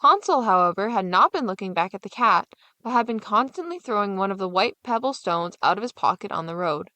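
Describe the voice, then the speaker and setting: A woman's voice: medium pitch, lively intonation, fairly quick, pushed and bright, no echo. A woman storyteller reading a scripted fairy tale as narration.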